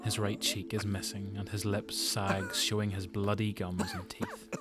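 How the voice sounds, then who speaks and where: A man's voice: fairly low pitch, flat delivery, a little slow. A man with a Northern Irish accent giving a scripted, dramatic narration for an audio drama. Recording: prominent music behind narration.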